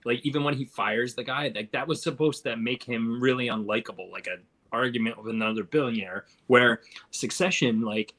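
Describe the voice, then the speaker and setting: Mid-pitched man chatting about films. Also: a little fast, a bit bright. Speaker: film review panelist. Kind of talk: casual film discussion.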